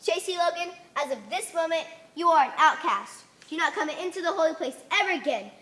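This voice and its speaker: A woman's voice: extremely high pitch, expressive, a little fast, fairly bright tone. A young woman playing a stern, solemn religious leader in an amateur stage play, speaking in commanding, declamatory tones. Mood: angry, decreeing. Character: stern authoritarian female religious leader of a conformist society. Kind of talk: amateur one-act stage play acting. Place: theater stage.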